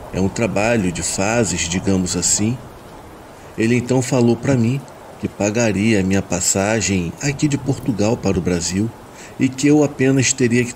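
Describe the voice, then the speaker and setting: Low-voiced Brazilian man narrating over rain. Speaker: narrator of listener-submitted horror stories. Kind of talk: scripted first-person story narration. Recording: steady rain noise behind the voice. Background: rain ambience.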